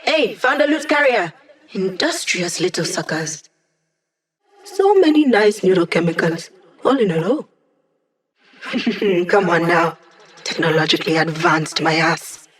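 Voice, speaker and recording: A woman's voice: fairly low pitch, expressive, unhurried, long pauses, a little hiss or hum. An actress voicing a cocky, sardonic young female hacker who lives as a hologram in a video game, with slangy, teasing delivery. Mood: playful, teasing. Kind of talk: video game character voice lines.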